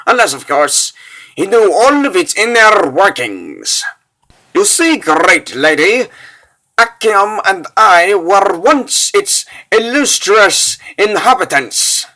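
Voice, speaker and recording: A bookish, courteous plotter lays out a scheme in grand, formal words for a comic dub , acted by a man. The voice sits fairly high and bright, and moves slowly with lively swings in pitch. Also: heavily acted, low-quality recording. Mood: assured. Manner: formal, theatrical, over-articulate. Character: polite, scholarly male planner of a prison break, long-winded. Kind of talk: comic book dub, dramatic character voices.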